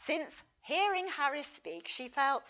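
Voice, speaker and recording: A woman reading a scripted academic paper as a conference lecturer, in a measured, deliberate and expressive manner. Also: sits high. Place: conference talk. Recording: dull, muffled lo-fi recording.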